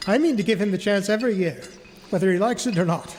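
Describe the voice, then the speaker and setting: A man acting a cheerful, forgiving young Victorian gentleman in a radio drama, with hearty delivery. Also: high voice, quick pace, natural voice. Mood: good-natured. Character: Scrooge's cheerful, good-natured nephew Fred, young man. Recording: echoey hall, noisy with clinking dishes.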